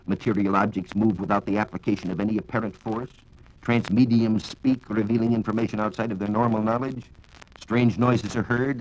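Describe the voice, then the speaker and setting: A man with an American accent giving a formal, measured, scripted 1940s radio science talk on a dull vintage recording. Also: on the low side, darkish tone. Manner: formal, measured lecturing with rhetorical questions. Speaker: science reporter and radio speaker. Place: radio studio.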